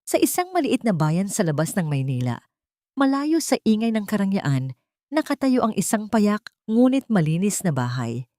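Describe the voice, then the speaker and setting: A woman reading a scripted dramatic story in a measured, sentimental, deliberate way. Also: mid-range voice, very animated, dark tone, no room sound, clean studio sound. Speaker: storyteller, narrator.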